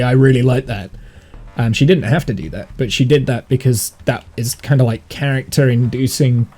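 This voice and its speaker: A man chatting casually on a gaming livestream, thinking aloud in a rambling way, with a slightly croaky, sniffly voice. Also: medium pitch, warm tone. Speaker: live streamer and game master. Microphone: streaming mic monitored through headphones.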